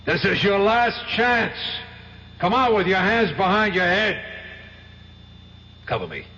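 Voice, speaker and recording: An actor playing a tense, urgent police raid commander in a radio drama, barking terse orders. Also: male voice, high voice, very animated, slow pace, bright, forceful tone, full character voice. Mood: urgent, commanding. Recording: very noisy, dull old radio recording.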